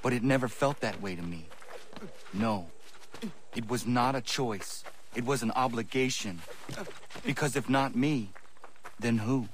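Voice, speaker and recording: An actor playing an earnest, doubtful but determined young man in a video game cutscene, speaking in a solemn, measured way. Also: medium pitch, a little hiss or hum, natural voice. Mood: solemn, reflective. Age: young adult.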